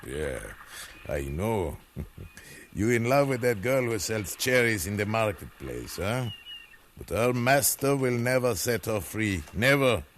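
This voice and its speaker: An actor playing a gruff, scolding slave-owning ship captain with a soft side, blustering theatrically in 1950s radio drama. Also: male voice, lowish voice, big pitch swings, a little slow. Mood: gruff, relenting. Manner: blustering, theatrical, punctuated with querying grunts. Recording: faintly noisy vintage radio recording.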